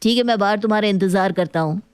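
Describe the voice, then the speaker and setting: A woman's voice: mid-range voice, fast, clear, resonant tone, no echo, studio quality, lightly acted. A woman playing an irritated young husband who grumbles at his wife in a story. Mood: puzzled. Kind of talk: story dialogue.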